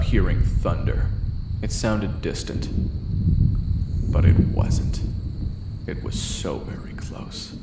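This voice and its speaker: A man's voice: sits low, heavy background noise. A man narrating a first-person audio roleplay in a dramatic storytelling way. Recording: echoey room with loud music.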